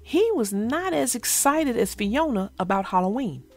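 Expressive lady reading a kids story, slow and very lively, music under her. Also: fairly high pitch. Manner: expressive, addressing listeners directly. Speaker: children's read-aloud narrator. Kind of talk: animated storybook narration for children.

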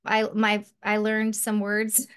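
A woman's voice: mid-range voice, monotone, takes it slowly, a bit bright, smooth. A woman in her thirties hosting a podcast interview over a video call, chatty and friendly, often restarting her sentences. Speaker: host.

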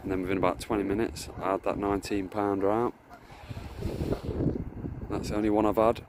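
Low-voiced man rambling by a lake. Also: level tone, fairly quick, heavy background noise. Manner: rambling, chatty, self-correcting. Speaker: carp angler vlogger. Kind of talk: casual walk-and-talk vlog. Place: outdoors by a lakeside, walking through bushes. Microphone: phone camera held while walking.

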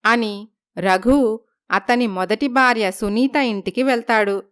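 A woman narrating a scripted family storybook tale at a steady pace. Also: fairly high pitch, takes it slowly, clean tone.

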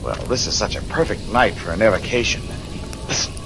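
An actor playing an obsessed, cold-blooded ghost-hunting doctor in a halting, brooding 1940s radio style. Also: male voice, on the low side, natural voice, rough recording. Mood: scheming, urgent. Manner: brooding, halting, talking to himself. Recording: old 1940s radio recording with music.

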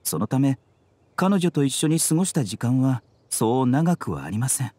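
An actor playing a composed, courteous young male physician in an anime game, polite, measured and gently enigmatic. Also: mid-range voice, big pitch swings, dry recording. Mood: reflective, reserved. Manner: polite, measured, softly teasing.

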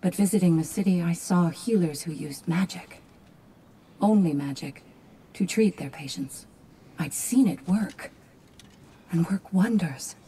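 A composed Valkyrie from a video game. Her voice is lowish, dark and husky. She narrates in a measured, reflective way. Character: composed, storytelling female Valkyrie warrior.

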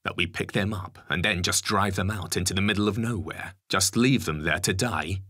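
A man's voice: deep voice, moderate pace, sharp tone, husky, no room sound, clean studio sound, exaggerated voice. A man voicing an anguished, indignant Prime Minister with a conscience, in a dramatic story reading. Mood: appalled.

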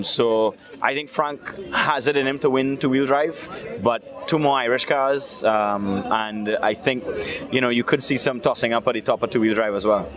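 Trinidadian man at a busy rally. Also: mid-range voice. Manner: relaxed, many "um" fillers, measured. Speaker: rally club president. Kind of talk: outdoor event interview. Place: outdoor rally paddock. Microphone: handheld interviewer mic. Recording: outdoor event with crowd noise. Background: crowd chatter.

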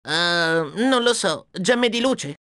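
A woman's voice: lowish voice, expressive, takes it slowly, fairly bright tone, no echo. A woman voicing a plucky, cheeky young male dragon hero in a video game, self-assured and wisecracking, close on a dry studio mic. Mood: puzzled. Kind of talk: video game character acting. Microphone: close studio microphone, dry.

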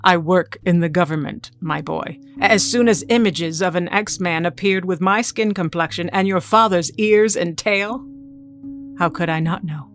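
A woman's voice: fairly low pitch, a little slow. Woman acting cold bossy ageless mutant mom, audio drama, clipped and guarded, bit of music behind. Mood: cool, firm. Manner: clipped, authoritative. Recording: music under the dialogue.

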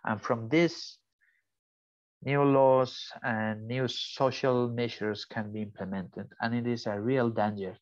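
A man with a strong Spanish accent gives an earnest lecture over a video call, now and then pausing to hunt for an English word. The sound is muffled and dry, with no room around it. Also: medium pitch, steady tone, no echo. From Spain. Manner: earnest, searches for English words. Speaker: invited speaker. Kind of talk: online lecture.

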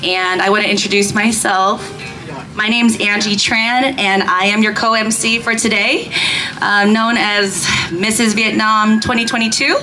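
A woman's voice: mid-range voice, fairly even intonation, unhurried, sharp tone, soft background music, poor audio. A woman co-hosting a public event on an outdoor festival stage, heard through a microphone and PA. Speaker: event co-host. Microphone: stage microphone through PA speakers. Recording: outdoor PA with faint noise.

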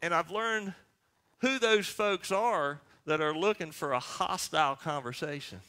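A man's voice: a little high, unhurried, sharp tone. A man in his sixties preaching a sermon as pastor, speaking emphatically and posing questions that he answers himself. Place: church sanctuary.

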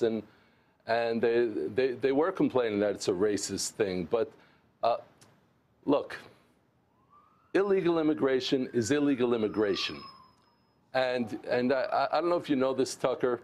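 A man's voice: mid-range voice, a little mellow. An older man in his sixties, originally from the United States, answers a news host's questions from a remote studio. He talks earnestly, often stumbling and restarting phrases. His lapel mic gives a close, clean sound. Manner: earnest, halting with many restarts. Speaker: interview guest, former mayor. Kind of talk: remote television interview.

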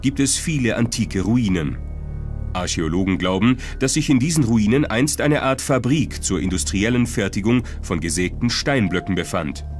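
Low-voiced documentary narrator, man. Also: lively intonation, normal speed. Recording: music behind the voice. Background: music.

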